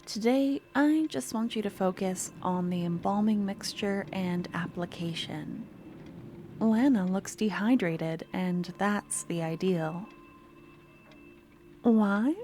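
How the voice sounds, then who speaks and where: A woman playing an eager, clingy young student with a secret obsession in an ASMR roleplay, speaking softly and often slipping into breathy whispers. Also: mid-range voice, slow, slight background noise, lightly acted. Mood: gentle, instructive. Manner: soft-spoken, often dropping to breathy whispers. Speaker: lab guide teaching embalming.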